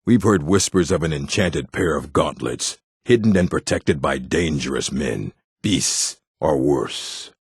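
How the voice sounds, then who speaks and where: Gruff proud orc from a fantasy game, super gravelly, blunt and stern, really swings up and down. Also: male voice, expressive, unhurried, hardly any pauses, no room sound, clean studio sound, exaggerated voice. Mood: proud, solemn. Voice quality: deep, gravelly, husky. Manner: blunt, stern, terse, solemn. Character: gruff, proud, hostile male orc of a stronghold. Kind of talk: fantasy video game character lines.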